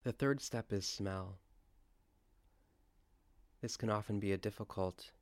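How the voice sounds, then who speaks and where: A man leading a guided scripture meditation, speaking in a deliberate, reverent way with pauses. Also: lowish voice, no room sound. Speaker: guided prayer leader.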